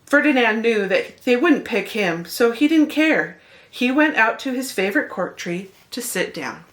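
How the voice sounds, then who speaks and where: A woman's voice: fairly high pitch, lively intonation, a little slow, a bit bright. A woman narrating a children's picture book expressively, as a read-aloud host speaking to young listeners.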